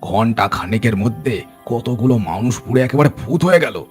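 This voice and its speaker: A man's voice: medium pitch, lively intonation, normal speed, a little mellow, faint music behind, natural voice. An actor playing a talkative, well-meaning older village man who admonishes and exclaims, in an audio story. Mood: admonishing.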